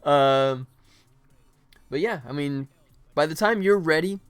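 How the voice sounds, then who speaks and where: A man's voice: on the high side, slow pace, clean tone. A man chatting casually as a livestream host and indie developer, rambling and thinking aloud while he works.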